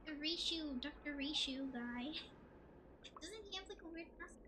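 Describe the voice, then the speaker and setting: A woman's voice: high-pitched, unhurried, background noise. A giggly, rambling woman chatting casually as she livestreams a video game. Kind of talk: casual gameplay chat and commentary. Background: game music.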